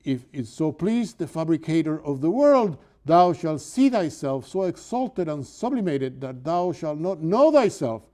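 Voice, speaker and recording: A man's voice: high voice, mellow, voice pushed up. An older man with a Cuban accent reading aloud the hammy barber's fake prophecy, declaiming in archaic, affected tones. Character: male barber faking a grand prophecy, hammy. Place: lecture hall in front of a chalkboard.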